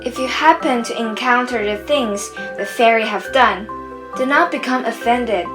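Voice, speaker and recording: High-voiced mischievous fairy trickster, played by a woman. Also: normal speed, over music, natural voice. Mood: apologetic. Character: mischievous fairy trickster setting things right. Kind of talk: amateur radio drama acting.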